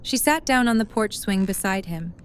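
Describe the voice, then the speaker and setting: A woman's voice: mid-range voice, lively intonation, moderate pace, a little hiss or hum. Woman narrating a story.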